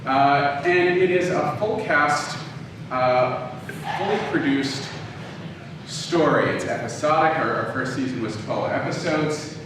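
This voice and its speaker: A man giving an informal lecture to an audience, speaking in a hesitant, halting, low-key way. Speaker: guest lecturer, audio drama producer. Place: echoey room, live talk before an audience. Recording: echoey room, distant, clearly noisy background.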